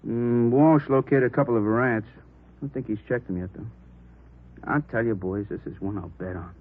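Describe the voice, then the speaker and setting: An actor playing an earnest, suspicious police detective in a 1940s radio drama, talking in informal, clipped phrases. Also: male voice, mid-range voice, normal speed, narrow, tinny audio, close to an everyday voice. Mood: worried, insistent. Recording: thin, band-limited old radio recording.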